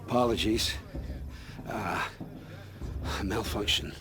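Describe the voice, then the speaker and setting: An actor playing a guarded scout in a video game, speaking in a halting, evasive way. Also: male voice, fairly low pitch, fairly even intonation. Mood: flustered.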